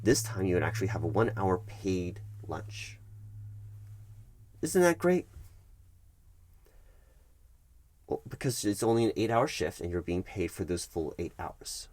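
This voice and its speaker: Man playing a low-voiced, bureaucratic HR manager. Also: expressive, moderate pace, darkish tone, no room sound. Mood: patiently explaining. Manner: hesitant, repeats himself, patiently insistent. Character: patronizing, bureaucratic male HR recruiting manager. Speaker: HR manager in roleplay. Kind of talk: one-sided ASMR roleplay, conversational.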